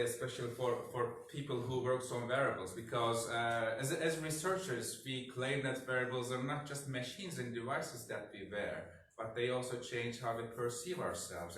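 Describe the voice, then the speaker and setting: A man's voice: medium pitch, a bit bright. A man giving an academic talk as a researcher, speaking hesitantly and often repeating words. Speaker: researcher presenting at a symposium. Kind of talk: academic conference talk, partly improvised. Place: indoor symposium room. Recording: very echoey room, distant mic, faint noise.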